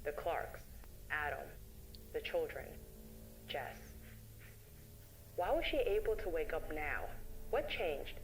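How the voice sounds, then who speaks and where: A woman's voice: deep voice, expressive, slow, long pauses, slight background noise, heavily acted, low-quality recording. A woman playing a shaken investigator who narrates a horror story as a taped confession. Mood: uneasy. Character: shaken woman recounting her investigation on a tape to John. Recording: music behind the voice.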